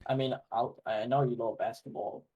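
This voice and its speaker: A man's voice: medium pitch, fairly even intonation, moderate pace, dark tone, dull audio. A man co-hosting a casual podcast, laid-back and slangy, filling his talk with "like" and "man". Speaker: podcast co-host.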